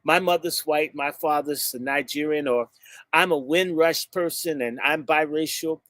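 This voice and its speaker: High, bright, fiery male speaker. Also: moderate pace, dry recording. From United States. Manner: fiery and emphatic, drawing out key words; blunt and insulting, jumps between topics. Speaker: speaker addressing a gathering. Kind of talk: impassioned speech to a live audience.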